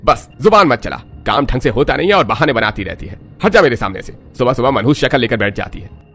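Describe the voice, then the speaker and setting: A man voicing a short-tempered, bullying husband who scolds loudly with contempt, in a cartoon drama. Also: mid-range voice, sing-song, fast talker. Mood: angry, contemptuous. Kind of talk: cartoon story voice acting. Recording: background music under voice.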